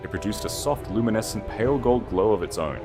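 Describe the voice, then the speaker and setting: A male audiobook narrator with a very low voice reads dramatic scripted fiction. His intonation is lively and wide-ranging. Music plays clearly behind him. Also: brisk.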